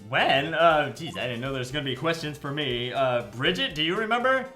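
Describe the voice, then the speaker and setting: A man's voice: fairly high pitch, lively intonation, fairly quick, bright, forceful tone. A man voicing a flippant, exasperated defense attorney in a comedic video game fandub, casual and rambling. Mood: flippant, confused. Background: music.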